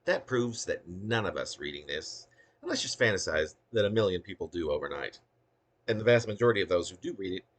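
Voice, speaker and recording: Deep, husky man with theatrical swoops. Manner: theatrical, wry, with emphatic swoops. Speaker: poet reading own work. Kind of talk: dramatic reading of a humorous autobiographical poem.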